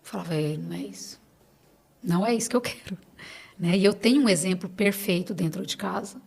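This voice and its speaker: A woman's voice: medium pitch, brisk, vocal fry, darkish tone, breathy edge. A Brazilian woman in her late thirties speaking as a podcast guest, conversational and emphatic, advising listeners directly with reassurance. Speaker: clinic owner advising on supplements. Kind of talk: conversational interview.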